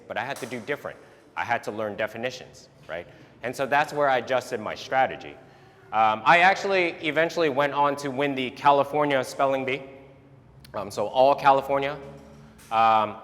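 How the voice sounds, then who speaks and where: A man whose first language is Vietnamese, giving an animated motivational talk to parents and often ending points with a quick "right?". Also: medium pitch. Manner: animated, tags statements with "right?". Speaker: speaker giving a talk on resilience. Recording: long hall reverb.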